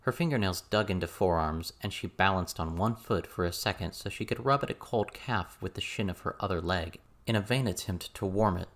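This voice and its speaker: A man's voice: sits low, brisk, hardly any pauses, a bit bright. A man reading a scripted story as an audiobook narrator.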